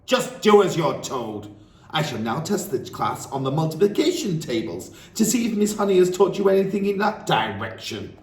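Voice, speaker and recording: A hulking, bullying headmistress, as a male reader puts her on, roars and barks her lines with wild swoops in pitch, dragging out the threats. She sounds raised above a normal speaking voice. The whole reading comes from a distance in an echoey room. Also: sing-song. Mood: scolding. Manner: bellowing, barking, drawn-out threats. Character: huge tyrannical female headmistress, bellowing bully. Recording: roomy, distant mic, faint ambience.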